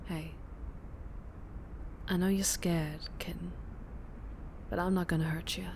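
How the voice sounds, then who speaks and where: A woman's voice: on the low side, flat delivery, slow, slight background noise. A woman playing a fierce, flirtatious vampire biker with a Southern US accent, teasing and protective in an intimate ASMR roleplay. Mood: soothing, flirtatious.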